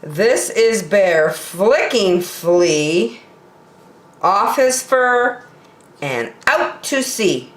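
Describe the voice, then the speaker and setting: A woman reading picture books aloud expressively for children, with measured dramatic pauses, in a roomy space. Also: medium pitch, very animated. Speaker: children's story time reader.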